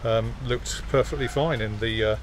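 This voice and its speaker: A man giving a relaxed, hesitant walk-around commentary in an outdoor car park, filmed on a phone. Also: mid-range voice, normal speed. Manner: relaxed, hesitant with many fillers. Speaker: classic car vlogger. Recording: outdoor phone video with clear background noise. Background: jet washer and outdoor noise.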